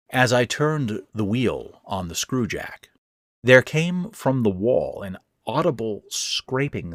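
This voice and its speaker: Guy reading scary first person fiction, lowish voice, takes it real slow, dramatic. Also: dry recording, clean studio sound. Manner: dramatic, suspenseful. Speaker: author and narrator. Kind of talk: scripted first-person horror narration.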